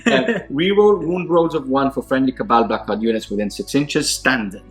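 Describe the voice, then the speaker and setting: A man's voice: medium pitch, moderate pace, faint music behind. A man whose first language is Spanish, hosting a tabletop wargame show with casual, unscripted rundowns. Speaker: host of a tabletop wargame battle report show.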